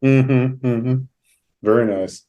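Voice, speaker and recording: A man hosting a conversational interview, measured and attentive, offering brief affirmations between his questions. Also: mid-range voice, dark tone, highs cut off. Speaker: podcast host, therapist.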